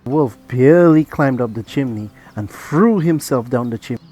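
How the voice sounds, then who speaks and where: A father reading a children's bedtime story aloud in a gentle, even storytelling manner. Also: medium pitch, moderate pace, faint music behind.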